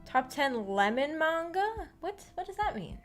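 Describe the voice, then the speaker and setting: A giggly woman hosting a book club stream, chatting casually with viewers and musing out loud. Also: sits high, big pitch swings, unhurried, faint music behind. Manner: musing, thinking aloud.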